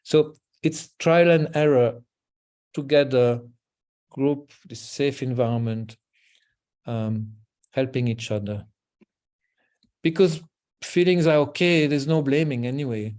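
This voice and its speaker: Gentle male parenting coach, soft repetitive delivery on a video call, mid-pitched and warm. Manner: gentle, slow, repeats words softly. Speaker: parenting coach, guest speaker. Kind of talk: webinar talk.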